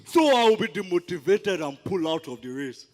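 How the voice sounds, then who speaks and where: A man's voice: sits high, very animated, moderate pace, a little mellow, big-room sound, soft background music. A man with a Nigerian accent playing a defiant, indignant rival for a throne in a declamatory stage play, grandiloquent and bombastic. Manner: grandiloquent, alliterative insults.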